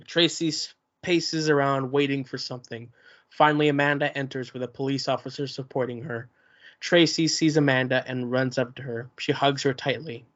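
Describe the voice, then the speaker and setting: A man reads the stage directions aloud at a table read, in a plain and steady voice. The recording is muffled and lo-fi. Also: medium pitch, normal speed. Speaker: narrator reading stage directions.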